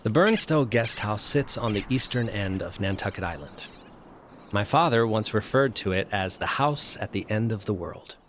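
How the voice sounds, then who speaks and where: An actor playing a fearful, solitary male professor who narrates an audio drama in a grave, hushed, deliberate way. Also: fairly low pitch, animated, slight background noise. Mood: wistful, reflective. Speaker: narrator and lead character.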